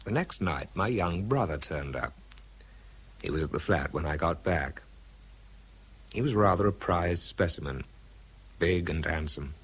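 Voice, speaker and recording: A man's voice: deep voice, lively intonation, measured pace, long pauses, breathy edge, exaggerated voice. An actor playing a weary, sardonic English wartime man in a British radio drama, dry and understated as he narrates and talks. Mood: wry, sardonic.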